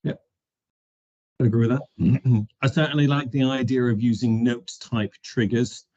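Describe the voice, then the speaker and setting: A man's voice: medium pitch, steady tone, normal speed, darkish tone. A middle-aged man explaining things casually as a guest on a video call. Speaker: panel guest. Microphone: video call microphone. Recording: dull lo-fi call audio.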